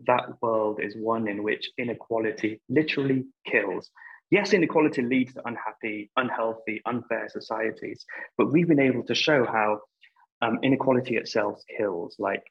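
A man's voice: mid-range voice, gravelly, breathy edge. A man speaking emphatically and rhetorically as an advocate in an interview. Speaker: activist interviewee. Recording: slightly dull lo-fi audio.

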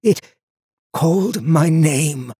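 Male narrator as a desperate ragged man, hoarse whispery voice, pitched high, pleading, audiobook drama. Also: big pitch swings, takes it slowly, dry recording, studio quality, full character voice. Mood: shaken. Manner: urgent, pleading. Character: frantic, frightened male traveler, worn and ragged. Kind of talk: audiobook character acting.

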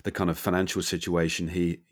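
Male interview host, lower voice, chatty and rambling, dry close mic. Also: monotone, brisk, dry recording. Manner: chatty, rambling questions with many fillers. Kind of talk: casual interview.

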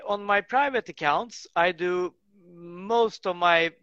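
A man's voice: sits high, slow, sharp tone, no room sound, dull audio. A man speaking English as an in a casual interview, rambling with many restarts and fillers. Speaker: guest, tree work business owner.

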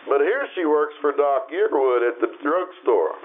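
Tinny old radio play, guy doing a wise calm ghostly guide, pitch swings a lot, explains stuff patiently. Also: a little slow. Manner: calm, explanatory, gently admonishing. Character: patient, wise male spirit guide showing visions. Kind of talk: old-time radio drama acting. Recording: tinny phone-like band, noisy radio sound.

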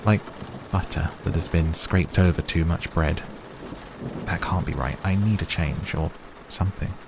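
A man's voice: sits low, fairly even intonation, measured pace, creaky. A man voicing an old hobbit in a story reading, fussy, stubborn and testy, with a defensive manner, on a noisy recording. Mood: weary, restless. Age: older. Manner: stammering, impatient, defensive.

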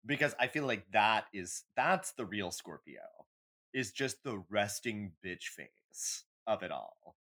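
A man's voice: lowish voice, big pitch swings, takes it slowly, pushed and bright. A man co-hosting a casual comedy podcast, chatting in a catty, theatrical and emphatic way with plenty of swearing. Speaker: podcast co-host.

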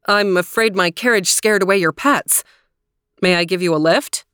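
Woman voicing a courteous storybook gentleman. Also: mid-range voice, moderate pace, smooth, dry recording, clean studio sound, natural voice. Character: polite, well-mannered gentleman stranger with a carriage. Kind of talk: storybook character voice.

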